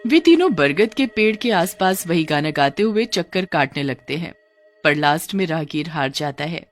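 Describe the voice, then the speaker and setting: A woman narrates a scripted horror tale for a cartoon. Her voice is fairly low, with animated rises and falls, and soft music plays behind her. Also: normal speed. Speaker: story narrator.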